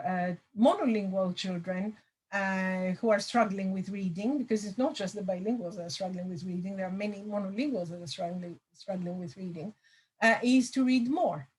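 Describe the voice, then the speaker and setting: A middle-aged woman, a lecturer answering audience questions over a video call, thoughtful with many pauses and fillers. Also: mid-range voice, slightly rough, rough recording. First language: Greek. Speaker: language assessment lecturer.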